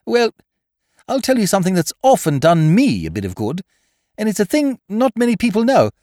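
A man voicing a befuddled young upper-class gentleman who stammers and splutters in high, strained bursts. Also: no echo, clean studio sound. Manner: stammering, dithering, breathless bursts. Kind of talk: audiobook character voice.

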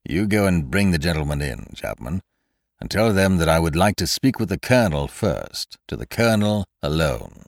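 A man voicing a wealthy, nervous gentleman in a storybook reading, curt and commanding, with an agitated edge. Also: low-pitched, very animated, moderate pace, vocal fry, dry recording, clean studio sound, exaggerated voice.

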